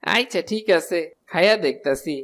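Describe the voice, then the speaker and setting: A man's voice: sits high, expressive, moderate pace, a bit bright, a little hiss or hum. A man voicing a kindly woodcutter shopkeeper. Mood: unimpressed. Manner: earnest, folksy and plain-spoken. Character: humble kind-hearted male woodcutter shopkeeper. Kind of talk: cartoon dubbing. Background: background music.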